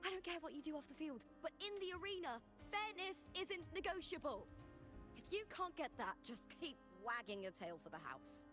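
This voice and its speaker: A woman's voice: high voice, sing-song, normal speed. A woman voicing a fiery, proud young female gladiator in a video game, blunt and brash with emphatic delivery. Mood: scornful. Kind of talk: dramatic video game voice acting. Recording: game audio with music underneath.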